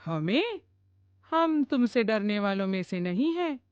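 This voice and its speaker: Tiny forest animals standing up to bullies, woman voicing them, louder and fearless. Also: on the high side, sing-song, unhurried, clear, resonant tone, dry recording, dull audio, voice pushed up. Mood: defiant. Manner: fearless, defiant. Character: two small brave forest animals, defiant.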